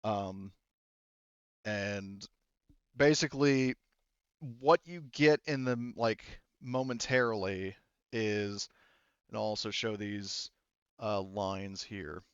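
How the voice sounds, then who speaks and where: Smooth-voiced man as game master, dull recording. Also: on the low side, dry recording. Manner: casual, halting narration with muttered asides. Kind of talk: running a tabletop roleplaying game.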